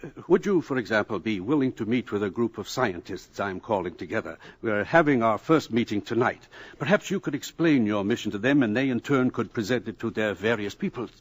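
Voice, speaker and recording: A man's voice: fairly low pitch, lively intonation, fairly quick, husky. An actor playing an eager, curious older male professor who hesitates and fumbles with fillers in an old radio drama. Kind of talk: 1950s radio acting.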